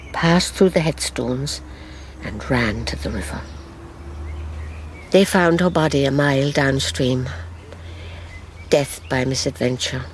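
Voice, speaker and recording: An actress playing an elderly Irish grandmother in a radio drama, recounting her past in a measured, sorrowful, wistful way. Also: extremely low pitch, slight background noise. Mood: haunted regret. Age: older.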